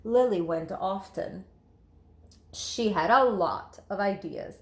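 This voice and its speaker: A woman teacher reading a storybook aloud to children, expressive and emphatic, speaking to her listeners directly. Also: medium pitch, slow.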